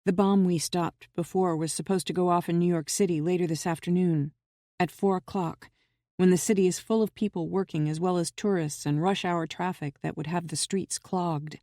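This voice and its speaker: A calm, intense young woman with dark visions, speaking gravely and matter-of-factly, as a female narrator voices her. Her voice sits low and mellow. The sound is dry and close, as in an audiobook. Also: moderate pace, no room sound, natural voice. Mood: urgent. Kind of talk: audiobook character reading.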